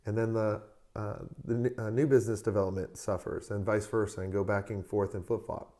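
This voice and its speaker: A man with a mellow, darker voice that is still hoarse, hosting his own advice show. He talks straight to his listeners in an upbeat, motivational coaching tone and urges them to take action. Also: lowish voice, little pitch movement, a little fast. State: hoarse, recovering from a lost voice. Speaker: podcast host. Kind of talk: solo advice podcast.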